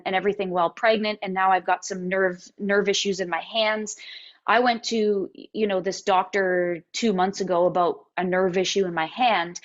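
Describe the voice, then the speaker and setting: A woman in her thirties with a slightly raspy voice, telling her story as an interview guest, animated and with halting restarts. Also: medium pitch. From Canada and the United States. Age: 30s. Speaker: interview guest, singer-songwriter. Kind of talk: candid interview conversation. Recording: dull lo-fi audio.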